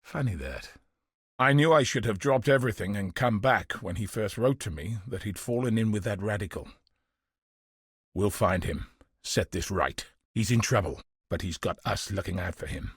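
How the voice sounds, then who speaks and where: An actor playing a weary, bitter but steadfast guard commander in a video game, speaking gravely and earnestly with a husky, raspy voice. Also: male voice, fairly low pitch, animated, normal speed, no echo, studio quality. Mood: resolute, wry, then determined, hopeful. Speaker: game character voice. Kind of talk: dramatic video game voice acting.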